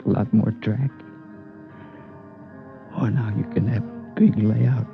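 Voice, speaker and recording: A man's voice: lowish voice, steady tone, slow pace, vocal fry. An American man playing an anguished father of a young son in a 1950s radio drama, emotional and faltering. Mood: grieving, tender. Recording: muffled old radio recording with music.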